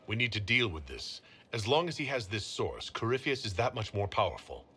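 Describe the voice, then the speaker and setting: Low-voiced, expressive commanding male leader. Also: slightly rough, heavily acted. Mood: questioning, resolute. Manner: measured, authoritative. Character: composed, commanding male leader of a fantasy order. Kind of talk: video game voice acting.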